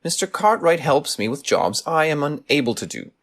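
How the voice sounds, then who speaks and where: A man playing a shrewd, commanding gentleman detective in a radio play, formal, deliberate and probing. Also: on the high side, sing-song, full character voice. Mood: genial authority.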